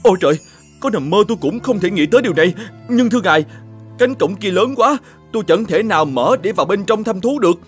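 Grumpy middle-aged village shoemaker, highish pitch, very lively swings, scolding, fairy-tale cartoon. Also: male voice, quick pace, soft background music. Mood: awed. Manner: scolding, exclamatory, complaining. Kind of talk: animated fairy-tale acting.

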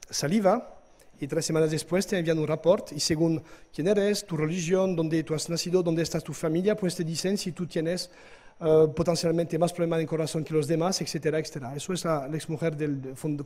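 A man, giving a conference talk in a hall with halting hesitations and restarts. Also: high voice, darkish tone. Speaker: conference speaker. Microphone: lectern microphone.

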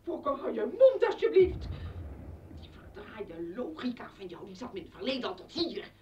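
A woman's voice: fairly high pitch, big pitch swings, moderate pace, darkish tone, distant mic. An actress playing a weary, reproachful wife and mother in a radio drama, exasperated and pointed in manner. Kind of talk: Dutch radio drama acting. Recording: echoey, muffled, noisy old radio recording.

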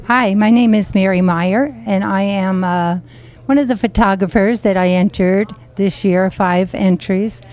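Elderly American woman, mid-pitched voice. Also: steady tone, a little slow, a little mellow. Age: 70s. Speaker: volunteer and amateur photographer. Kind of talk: unscripted interview talk. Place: indoor exhibit hall. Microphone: handheld microphone.

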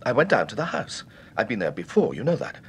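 An actor playing a shaken, insistent witness in a British radio serial, earnest and halting, with Received Pronunciation. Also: male voice, medium pitch, big pitch swings, slight background noise. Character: shaken, insistent well-bred male witness under questioning.

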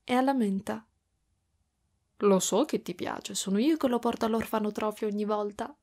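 A woman voicing a doting, clingy motherly spider-woman in an ASMR roleplay, speaking softly and affectionately with whispery stretches. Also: mid-range voice, normal speed, lightly acted. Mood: soothing, affectionate. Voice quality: soft, breathy with whispery stretches. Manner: cooing, affectionate, giggly, pet names.

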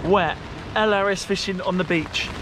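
Male fishing vlogger, 30s, high voice, informal chatty delivery, recorded outdoors with clear rain noise. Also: normal speed. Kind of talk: casual vlog chat to camera. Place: outdoors on a stormy beach under an umbrella. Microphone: camera at arm's length.

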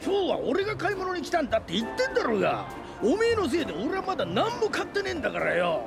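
An actor playing a middle-aged, excitable small-time crook and informant in a video game, griping in coarse, blustery slang. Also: male voice, very high voice, fast talker, creaky, music in the background, heavily acted. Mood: irritated grumbling.

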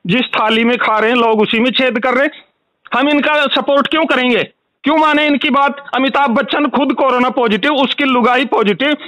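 A man's voice: extremely high pitch, sharp tone, no room sound. An angry man making a heated complaint as a customer on a phone call, insistent and repetitive. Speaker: complaining customer calling a helpline.

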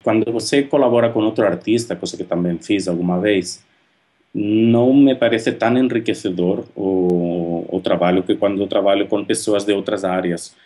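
A man in his thirties from Spain with a Spanish accent, talking thoughtfully to a webcam about his art in a halting way, with many fillers and restarts. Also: lowish voice, dark tone. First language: Spanish or Catalan. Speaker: artist. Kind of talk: reflective monologue to camera. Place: indoor room at home. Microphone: webcam at close range.

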